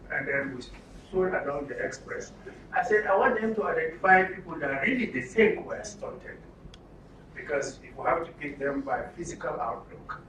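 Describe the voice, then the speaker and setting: A man with a Nigerian accent leading a training session as an interactive lecture, asking rhetorical questions and repeating answers back. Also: fairly high pitch. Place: indoor training room with audience. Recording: echoey room, distant mic, faint noise.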